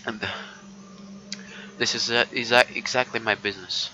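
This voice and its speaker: A man live streaming a visual novel, reading it aloud haltingly and muttering casual asides. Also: mid-range voice, fairly even intonation, bright, forceful tone. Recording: dull lo-fi mic with noise.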